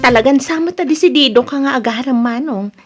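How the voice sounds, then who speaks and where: A woman's voice: sits high, fairly quick, over loud music, close to an everyday voice. A woman with an Ilocano Filipino accent playing a coy, cautious young village maiden in a radio comedy drama.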